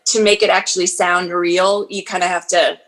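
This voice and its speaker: A woman's voice: lowish voice, little pitch movement, moderate pace, a bit bright, poor audio. A woman in her forties, an audiobook narrator and actress, chatting casually in an interview with frequent fillers and restarts.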